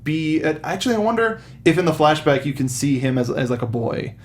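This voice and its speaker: A man hosting a casual film review chat, animated and enthusiastic with halting phrasing. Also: on the high side. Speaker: talk show host. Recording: clear background noise.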